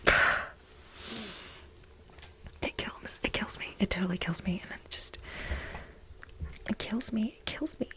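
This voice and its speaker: A woman playing an infatuated, jealous young research assistant to her boss in an ASMR role play, breathy, halting and gushing. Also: medium pitch, lively intonation, bright, forceful tone, poor audio. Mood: flustered, lovestruck. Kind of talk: soft-spoken whispered ASMR role play.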